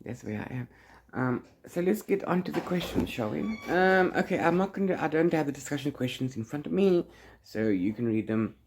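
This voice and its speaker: Chatty man with very animated, swooping pitch. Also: a little fast, slight background noise. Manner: rambling, trails off into blah blah and la-la fillers. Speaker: book vlogger and reviewer. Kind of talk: casual, chatty reading vlog.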